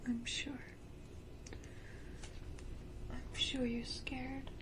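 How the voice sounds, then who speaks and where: A woman voicing a menacing, tenderly cruel vampire matriarch in a slow, breathy, theatrical whisper for an ASMR roleplay. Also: high voice, little pitch movement, noisy background. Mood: menacing tenderness.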